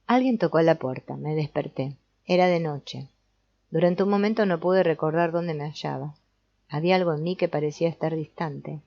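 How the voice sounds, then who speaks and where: A woman reading an audiobook as narrator in a measured, explanatory way. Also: deep voice, creaky, no room sound. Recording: dull, lo-fi sound.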